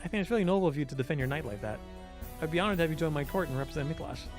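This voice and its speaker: A man's voice: fairly high pitch, very fast, soft background music, close to an everyday voice. A man voicing an earnest, kind, brave young queen in a visual novel. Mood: earnest, polite. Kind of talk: visual novel character reading.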